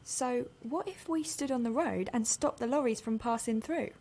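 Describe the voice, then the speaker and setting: An earnest woman protester in a radio drama. Her voice is breathy and on the high side, and she speaks tentatively, as if asking. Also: moderate pace, darkish tone. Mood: tentative, hopeful. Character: soft, earnest, tentative woman protester with quietly bold ideas. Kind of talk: naturalistic audio drama.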